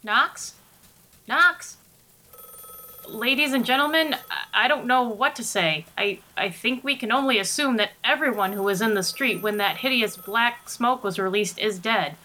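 A woman's voice: a little high, a little slow, pushed and bright, low-quality recording. A woman playing an earnest, formal news anchor in a 1930s radio drama spoof. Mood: shaken, solemn.

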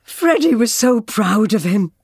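A woman's voice: a little high, big pitch swings, unhurried, husky, no echo, studio quality, full character voice. A woman playing a grieving, sentimental mother in an audio drama, mournful and lingering.

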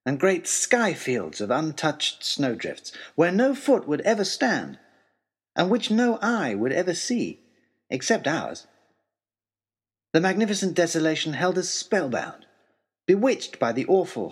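A man playing an earnest, eloquent gentleman adventurer, narrating his letters home as a wonderstruck, literary storyteller in an audio drama. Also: medium pitch, slightly rough, exaggerated voice.

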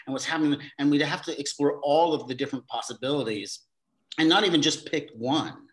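A man's voice: a little high, fairly quick, poor audio. A man who is a guest on a podcast, answering in an earnest, reflective way and thinking aloud. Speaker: guest, theater company facilitator. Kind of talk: interview answers.

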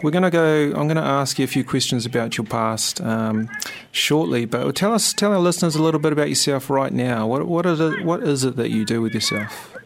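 A man's voice: medium pitch, expressive, a little fast, creaky, hardly any pauses, a little hiss or hum. A man hosting a radio talk show, chatty and full of fillers. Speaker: radio host. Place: radio studio.

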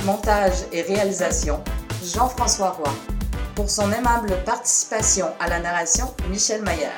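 Woman narrating over loud music. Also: mid-range voice, moderate pace. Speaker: podcast credits narrator. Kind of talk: scripted narration.

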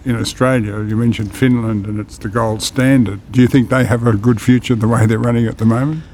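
A man's voice: mid-range voice, fairly even intonation, fairly quick, darkish tone, slight background noise. A male podcast host with a mid-range voice asks questions in an easy conversational way, nudging the guest along with brief murmurs. Faint room ambience hangs behind him. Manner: conversational, prompting with short murmurs of agreement. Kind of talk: podcast interview.

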